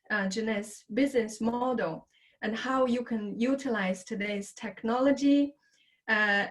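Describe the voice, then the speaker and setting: Woman hosting a video call, high voice. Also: low-quality recording. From China. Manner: friendly, unhurried, with many fillers. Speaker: host of an online business presentation.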